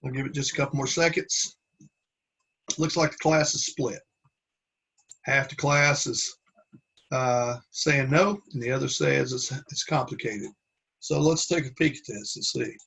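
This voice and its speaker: A man teaching a Bible class from slides, talking in a halting way with many restarts and fillers. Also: mid-range voice, gravelly, fairly bright tone, husky.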